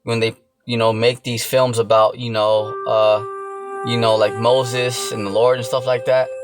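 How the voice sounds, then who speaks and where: A man's voice: medium pitch, flat delivery, a little slow. A man giving an informal sermon, repeating himself and often checking in with the listener. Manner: repetitive, filler-heavy, keeps checking in with "okay" and "you know". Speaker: religious teacher. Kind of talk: informal preaching. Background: music.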